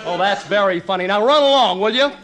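A stubborn, easily flustered husband and father from a 1950s radio comedy. His pitch swings widely as he stammers and protests. The vintage recording is clearly noisy. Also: expressive, quick pace. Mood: irritated, defensive.

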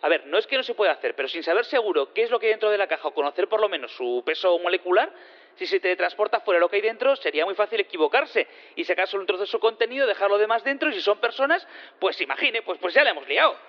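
An actor in an audio drama playing an eager space physicist on the witness stand, rambling, hesitant and repeating himself. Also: male voice, sits high, lively intonation, quick pace. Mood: explanatory, flustered. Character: rambling, eager male space physicist who teleports things. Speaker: witness in a courtroom. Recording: dull lo-fi sound in long reverb.

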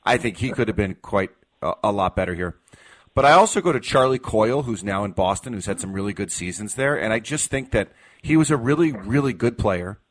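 A man's voice: lowish voice, animated, a little fast. A man in his forties with an American accent, hosting casual sports talk on the radio. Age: 40s.

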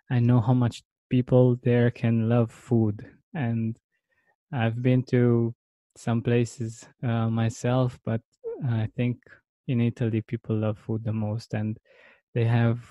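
A man hosting a casual interview, speaking in a hesitant, halting way. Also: medium pitch, mellow, no echo. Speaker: podcast host.

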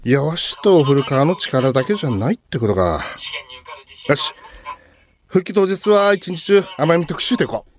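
An actor playing a decisive, plain-spoken 1950s radio station chief in a radio drama, with a commanding manner. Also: male voice, a little high, very animated, a little slow. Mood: decisive, concerned.

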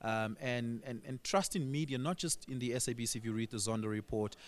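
A man's voice: mid-range voice, brisk. A man with a South African accent hosts a discussion interview, speaking reflectively and posing rhetorical questions. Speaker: radio host.

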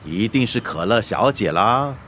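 A man's voice: lowish voice, lively intonation, measured pace, a bit bright, a little hiss or hum. A male actor in a radio drama playing a deferential, meddling, worried manservant, hesitant and fussy. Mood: curious, hesitant.

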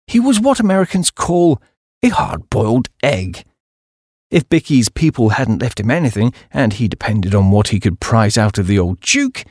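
A man's voice: medium pitch, darkish tone, slightly rough, no echo, studio quality. A man with an English accent reading a comic story as scripted audiobook narration.

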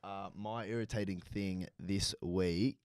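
A young man on a podcast, telling loose, profane stories in a fairly low voice. The sound is close and dry, with no room around it. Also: a little slow, smooth, dry recording. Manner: crude, swearing, animated storytelling. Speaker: podcast co-host. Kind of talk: casual chat.

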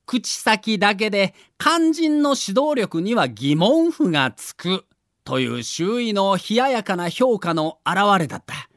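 A man's voice: high-pitched, big pitch swings, measured pace, fairly bright tone, no echo, clean studio sound. A man narrating a scripted documentary as a story, with dramatic emphasis.

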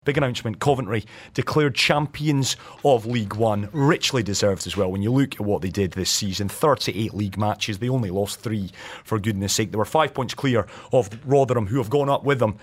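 A man's voice: lowish voice, fairly quick. A man with a Scottish accent hosting a radio sports show, upbeat and enthusiastic as he interviews a guest. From Scotland.

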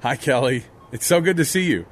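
Actor as humble man with lively, swooping pitch. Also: a little fast, close to an everyday voice. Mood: warm, apologetic. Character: recovering formerly homeless man, humble and friendly. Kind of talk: audio drama dialogue.

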